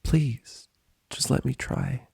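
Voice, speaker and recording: A man voicing a troubled female unicorn pony in a story reading, subdued and halting, words trailing off. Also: a little mellow, no room sound, studio quality, natural voice. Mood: weary, upset. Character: weary distraught young female unicorn pony.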